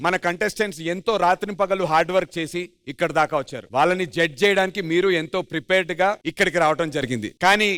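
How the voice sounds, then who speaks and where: A man hosting a television show on stage, announcing with energy and drawn-out emphasis. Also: high voice, a bit bright, clean tone. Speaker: TV show host. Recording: TV stage with music behind.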